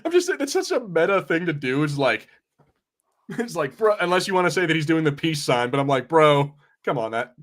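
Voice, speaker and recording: A man co-hosting a podcast, chatting informally in a halting way with slang and swearing. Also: fairly high pitch, big pitch swings, a bit bright. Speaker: podcast co-host. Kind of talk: casual film chat on a watch party.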